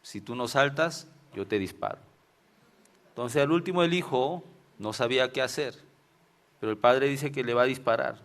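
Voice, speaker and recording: A man with a Venezuelan Spanish accent preaches in a loose, conversational way, dropping in personal stories. His voice sits at a middle pitch with a gravelly edge. He often ends his phrases with tag questions to the congregation. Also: moderate pace, long pauses, a bit bright. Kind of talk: informal sermon with personal anecdotes.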